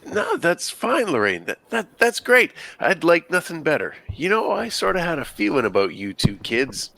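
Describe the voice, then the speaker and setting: A man's voice: on the high side, sing-song, normal speed, vocal fry, pushed and bright, full character voice. A man reading the part of an eager, awkward teenage guitarist at a film script table read. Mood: sheepish.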